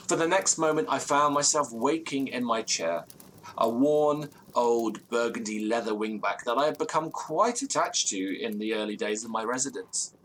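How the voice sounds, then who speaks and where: An anxious, well-bred British gentleman in an audio drama ghost tale. His phrasing is ornate and theatrical, at a middling male pitch. A light noise sits behind the voice. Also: poor audio. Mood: wry, reflective. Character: anxious genteel gentleman recounting a ghost story.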